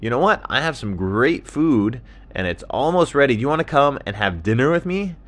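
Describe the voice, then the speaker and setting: An eager cartoonish dingo for a children's storybook, acted out by a man. The voice is pushed high and bright, chatty and excitable, always friendly. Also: fairly quick. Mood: cheerful, inviting. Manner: excitable, chatty, calls out loudly. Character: friendly, eager, high-pitched dingo inviting animals to dinner.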